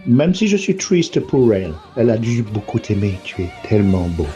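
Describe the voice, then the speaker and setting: A man's voice: fairly low pitch, very animated, moderate pace, mellow. A man voicing a doting, rambling woman. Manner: rambling, hesitant, muddles places. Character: doting woman writing a love letter. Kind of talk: improvised comic letter. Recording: slightly muffled, faint music behind.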